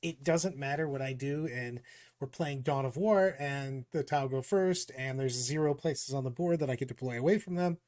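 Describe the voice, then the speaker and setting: A man's voice: mid-range voice, normal speed, a little mellow. A man co-hosting a casual tabletop gaming discussion, talking emphatically and repeating words as he starts his points. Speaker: podcast co-host. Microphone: studio mic.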